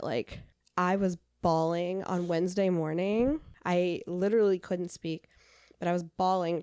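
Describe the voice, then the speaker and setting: A young adult woman co-hosting a podcast in casual chat, her voice hoarse and raspy from illness, with a dry, deadpan delivery. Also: on the low side, dry recording. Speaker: podcast co-host.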